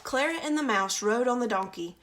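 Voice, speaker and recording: A woman's voice: fairly high pitch, animated, fairly quick, fairly bright tone, slight background noise. A woman reading a children's storybook aloud on a livestream, chatty and informal in her asides. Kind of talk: children's picture-book read-aloud with casual asides.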